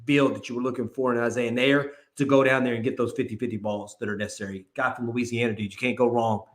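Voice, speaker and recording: A man's voice: mid-range voice, fairly even intonation, fast talker. A man co-hosting a casual sports talk show, loose and rambling with lots of filler words. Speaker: co-host. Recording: echoey room.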